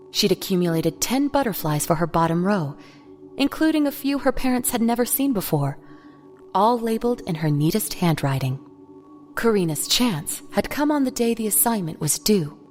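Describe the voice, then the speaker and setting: A woman's voice: medium pitch, lively intonation, normal speed, a little mellow, faint music behind. A woman reading scripted narration for an audio drama.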